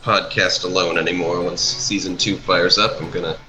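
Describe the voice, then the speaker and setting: A man chatting casually as a guest, halting but enthusiastic as he talks about a creative project. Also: deep voice, steady tone, over music, rough recording. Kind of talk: casual chat, commentary over an art video.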